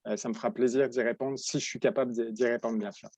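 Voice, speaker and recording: A man in his forties from France, with a French accent, giving a webinar presentation as a veterinary professor, in a halting way with many restarts. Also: mid-range voice, steady tone, a little mellow, dry recording. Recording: dull lo-fi dry sound.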